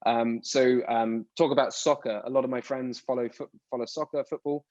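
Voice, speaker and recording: A man's voice: mid-range voice, fairly even intonation, normal speed, a little mellow, dry recording. A man from the UK in his thirties, answering interview questions in a rambling, casual way with frequent restarts. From United Kingdom. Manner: rambling, restarts words often. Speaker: podcast guest. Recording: dull lo-fi dry sound.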